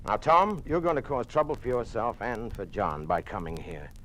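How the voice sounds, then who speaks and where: Animated, low-pitched, exasperated American adviser. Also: male voice, normal speed. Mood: scolding. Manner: urgent, scolding, emphatic. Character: governor's cautious, exasperated doctor friend, pragmatic American man. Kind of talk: 1950s American radio drama acting. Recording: noisy old-time radio recording.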